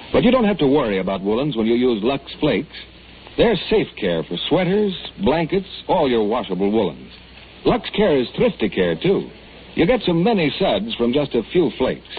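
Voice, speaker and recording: An American man announcing and reading a scripted sales pitch as on 1940s radio. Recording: tinny, faintly noisy 1940s radio recording.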